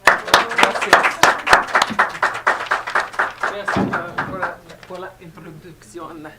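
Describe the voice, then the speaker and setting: A native French-speaking woman with a French accent, lecturing carefully and haltingly in a language not her own. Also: lowish voice, steady tone, bright, forceful tone, a faint crowd. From Canada. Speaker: guest lecturer, psychology professor. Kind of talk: academic presentation. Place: university auditorium. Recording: noisy auditorium recording.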